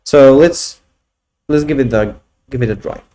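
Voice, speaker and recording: Polish-native man, 30s, mellow mid-pitched voice, casual halting walkthrough full of fillers. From Poland. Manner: halting, many restarts and fillers, thinking aloud. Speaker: tech video host. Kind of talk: casual screen-share walkthrough.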